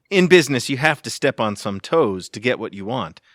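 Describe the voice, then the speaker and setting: An actor, a man, plays a self-important ladies' man with a lively, swooping delivery in a dry recording. He is smarmy and cocky, a comic audio-theatre sleaze who thinks he is irresistible. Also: medium pitch, moderate pace, dry recording, close to an everyday voice. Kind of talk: comedic audio theatre acting.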